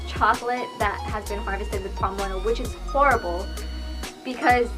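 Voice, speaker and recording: A young woman from Hawaii talks casually and confessionally to camera, like a vlogger, in a room at home. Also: a little high. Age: young adult. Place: small room at home, indoors. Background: soft background music.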